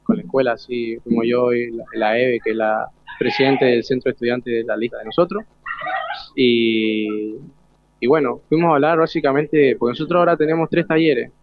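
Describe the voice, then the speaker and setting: A man's voice: mid-range voice, fairly even intonation, moderate pace, vocal fry. A young male student in his teens with an Argentine accent, chatting casually and modestly in colloquial speech as he is interviewed. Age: teenager. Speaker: student delegate being interviewed. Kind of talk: casual chat. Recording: dull, lo-fi radio sound.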